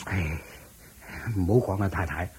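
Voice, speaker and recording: A man playing a kindly, worried older master of a wealthy household in a Cantonese radio drama, sighing and measured, with a Hong Kong accent. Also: low-pitched, steady tone, a little mellow, slight background noise. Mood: troubled.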